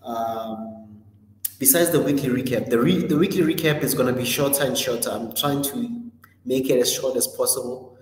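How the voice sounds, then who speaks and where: A man's voice: medium pitch, moderate pace. A man hosting a livestream, running through the week's schedule casually with lots of ums and self-corrections. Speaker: host. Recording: roomy echo with long reverb.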